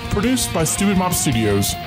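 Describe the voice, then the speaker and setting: A man's voice: high-pitched, very animated, moderate pace, poor audio. A man reading scripted credits as an announcer. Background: loud guitar music.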